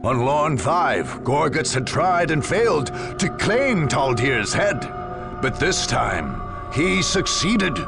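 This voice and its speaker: A man narrating a war game as a grave, grandiose chronicler in a solemn, theatrical, measured way. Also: on the high side, big pitch swings, gravelly, over music, heavily acted. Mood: grim relish. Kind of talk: dramatic scripted game narration.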